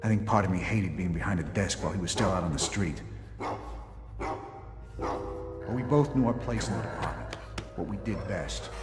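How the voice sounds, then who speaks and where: An actor playing a weary, sincere middle-aged police captain in a video game, measured and earnest. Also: male voice, deep voice, natural voice. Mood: earnest regret. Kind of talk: dramatic video game cutscene acting. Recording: echoey reverberant hall, music behind.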